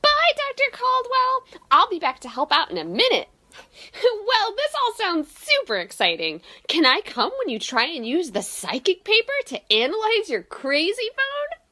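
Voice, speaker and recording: An excitable young female student in an audio drama, played by a woman. Her voice is high and bright, with hugely swooping intonation. She gushes and is keen to please. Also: moderate pace, heavily acted. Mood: excited.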